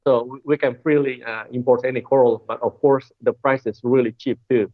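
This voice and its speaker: A man, answering interview questions in a hesitant way with many filler pauses, heard over a remote call. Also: mid-range voice, fairly even intonation, no echo. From Indonesia. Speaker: guest, coral wholesaler. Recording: dry, tinny phone-like line.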